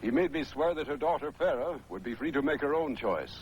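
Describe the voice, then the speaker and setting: An actor playing a gentle, dignified king in a 1950s epic film, speaking in a measured, solemn way. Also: medium pitch, animated, noisy background, lightly acted. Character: dignified, gentle middle-aged desert king.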